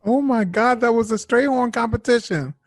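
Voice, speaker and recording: High-voiced, self-doubting young man. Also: moderate pace, no room sound, studio quality. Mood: anxious. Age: young adult. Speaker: actor. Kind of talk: naturalistic audio drama acting.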